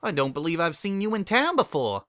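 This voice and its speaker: A man's voice: fairly high pitch, very animated, a little fast, fairly bright tone, dry recording, clean studio sound, voice pushed up. A man voicing a friendly, easily flustered female pony candy shopkeeper with a folksy, eager manner. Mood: welcoming.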